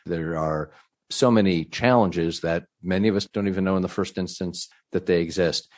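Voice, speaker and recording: A man speaks as an interviewer in a low-pitched voice. His delivery is conversational and effusive, with long, winding phrases that circle toward a question. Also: fairly even intonation, normal speed. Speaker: interviewer, host.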